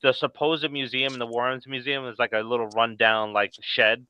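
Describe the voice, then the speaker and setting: A man's voice: medium pitch, level tone, normal speed, pushed and bright, smooth, low-quality recording. A man hosting a casual live talk show, telling stories informally and acting out quotes as he goes. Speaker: podcast host.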